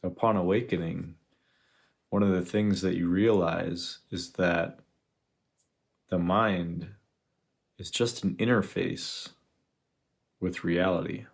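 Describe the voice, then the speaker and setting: A man leading a guided meditation, speaking in an unhurried, reflective way with long pauses between phrases. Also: deep voice, steady tone, creaky. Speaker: meditation guide, spiritual teacher. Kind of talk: guided meditation, contemplative teaching.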